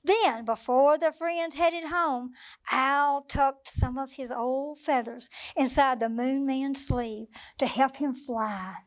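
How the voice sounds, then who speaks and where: A woman reads a bedtime picture book to young children in a high voice. She goes very slowly, with an expressive, sing-song lilt. The sound is dry and close, with very little room. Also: dry recording. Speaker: children's librarian storyteller.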